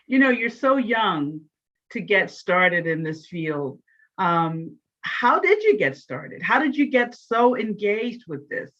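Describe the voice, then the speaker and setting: A woman's voice: mid-range voice, very animated, slow, a bit bright, muffled recording. A woman moderating a panel, asking questions and restarting them as she goes.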